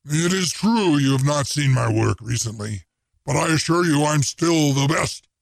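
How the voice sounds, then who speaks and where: A man voicing a gruff, booming, hot-tempered male ironsmith in a storybook reading. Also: animated, moderate pace, no echo. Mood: boastful. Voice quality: gravelly, booming.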